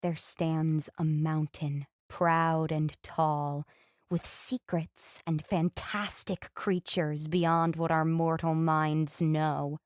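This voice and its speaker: A woman playing a warm, caring big sister and storyteller, gentle and expressive, in a family audio drama. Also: low voice, takes it slowly, no echo. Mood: hushed wonder.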